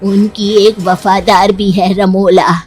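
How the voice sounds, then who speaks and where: A woman playing an older village mother-in-law in a cartoon, explaining things earnestly and in a measured way. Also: mid-range voice, little pitch movement, a little mellow, soft background music, natural voice. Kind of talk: Hindi cartoon story acting.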